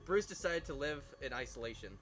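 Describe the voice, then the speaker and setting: A man's voice: on the high side, lively intonation, fairly quick, background noise. A man reading a story aloud with joking asides, stumbling over words as he goes. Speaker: host reading fan fiction aloud. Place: outdoors by a fireside at night. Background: music.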